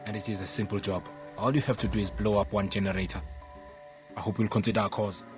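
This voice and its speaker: An actor playing a stern army major in a video game, speaking gravely and formally. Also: male voice, on the low side, steady tone, fast, natural voice. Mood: grave, resolute. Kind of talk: video game cutscene acting. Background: music.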